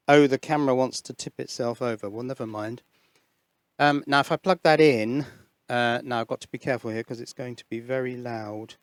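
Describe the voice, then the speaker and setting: A man's voice: mid-range voice, fairly even intonation, normal speed, no echo. A man giving an informal hands-on electronics demonstration on a headset mic, musing and hesitant as he thinks aloud. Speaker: host of an electronics hobby channel. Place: indoors at an electronics workbench. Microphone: headset microphone.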